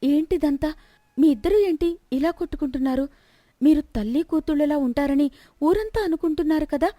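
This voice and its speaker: A woman's voice: high-pitched, moderate pace, warm tone, no echo, clean studio sound, voice pushed up, lightly acted. A woman voicing a clever young daughter-in-law, earnest and firm, in a moral story. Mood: shocked.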